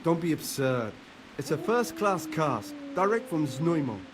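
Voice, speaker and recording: An actor playing a jovial, sly older medieval monk in a video game, with a hearty, coaxing manner. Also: male voice, fairly high pitch, very animated, a little slow, noisy background. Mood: jovial, teasing. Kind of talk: video game cutscene acting.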